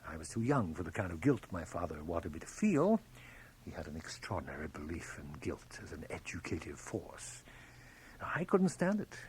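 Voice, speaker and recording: A man's voice: low-pitched, huge pitch swings, moderate pace. An actor playing a bitter, cultivated middle-aged man in a radio drama, theatrical, precise and mocking. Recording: noisy cassette transfer of radio drama.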